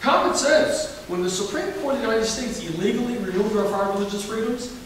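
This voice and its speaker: A man's voice: high-pitched, lively intonation, fairly quick, slight background noise. A man with a Southern US accent, a candidate for governor answering at a forum in an emphatic, folksy, repetitive way. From Alabama. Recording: echoey hall from far off.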